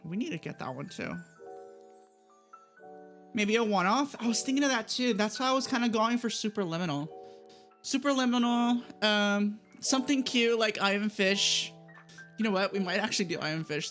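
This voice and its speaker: A woman's voice: medium pitch, moderate pace, fairly bright tone, soft background music. A woman chatting casually as she hosts a gaming livestream, giggly and easily sidetracked. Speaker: streamer hosting a gaming livestream.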